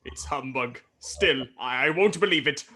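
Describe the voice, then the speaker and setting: A man's voice: medium pitch, unhurried, heavy background noise, lightly acted. A man playing a stubborn, easily frightened old miser in a radio play, with a theatrical, jittery manner. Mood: sceptical, grumbling.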